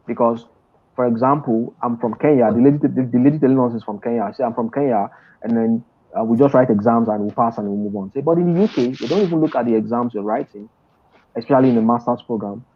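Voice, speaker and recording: A man's voice: medium pitch, quick pace. A middle-aged man, a guest on a video call interview, talking emphatically with many restarts. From Nigeria. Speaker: guest trader and mentor. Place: indoor room, seated in an office chair. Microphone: earphone headset on a video call. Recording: dull lo-fi video call audio.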